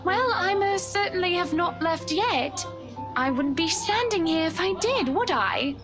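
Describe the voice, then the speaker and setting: Casting: actress as elegant, teasing wife in a visual novel, high voice, polite but firm and coaxing. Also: female voice, animated, a little slow, music in the background. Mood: brisk, teasing. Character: gracious, poised upper-class wife, gently teasing and firm. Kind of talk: visual novel character acting.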